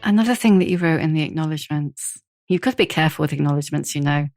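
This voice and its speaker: A woman hosts an interview podcast, asking probing questions in an easy, conversational way. Her voice sits low and sounds close and dry, with no room around it. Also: very fast, a little mellow, dry recording, faint music behind. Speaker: podcast host.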